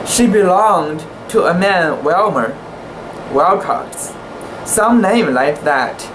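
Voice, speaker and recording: A woman giving an amateur dramatic reading of a classic sea story, careful and deliberate, with the occasional stumble. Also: fairly low pitch. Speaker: amateur reader. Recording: echoey room with steady background hiss.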